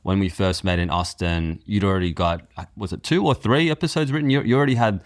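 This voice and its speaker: Male script producer, deep voice, dry close sound. Earnest, reflective podcast talk, thinking aloud through questions. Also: quick pace, no room sound. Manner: earnest, explains by posing rhetorical questions. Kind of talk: reflective behind-the-scenes podcast conversation.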